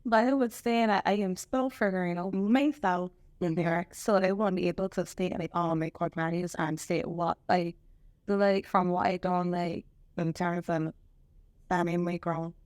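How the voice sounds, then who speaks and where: A woman, speaking as a guest in a halting, soft-spoken way. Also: fairly low pitch, steady tone, dry recording. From Barbados. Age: 20s. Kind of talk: casual chat.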